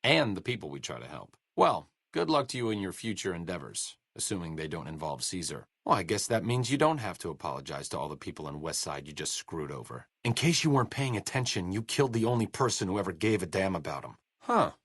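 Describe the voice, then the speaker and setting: A man's voice: low-pitched, big pitch swings, fast, few pauses, fairly bright tone, breathy edge, no echo, full character voice. An actor playing a sardonic, bookish male wasteland doctor with dry, self-deprecating wit in a video game. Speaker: game companion character.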